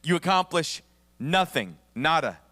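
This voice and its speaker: A man's voice: fairly high pitch, big pitch swings, unhurried, pushed and bright, dry recording, big-room sound. A male pastor with a Southern American accent preaching a conversational sermon with humorous asides, his voice a bit worn. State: voice somewhat worn, losing his voice. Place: church sanctuary.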